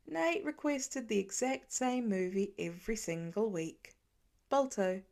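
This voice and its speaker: A woman narrates fiction in audiobook style. Her voice sits at a medium pitch for a woman and carries a noticeable creak as she reads the scripted prose. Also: lively intonation, measured pace, darkish tone.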